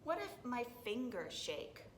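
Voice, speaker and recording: A woman's voice: fairly high pitch, big pitch swings, unhurried, echoey room, a little hiss or hum, pitched above the performer's own voice. A woman voicing an anxious young storybook girl who asks worried questions.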